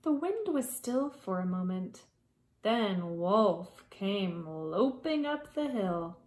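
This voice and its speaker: A woman preschool teacher reading a children's story aloud in a dramatic way, with hushed asides to young listeners. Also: mid-range voice, very animated, slow pace, roomy sound.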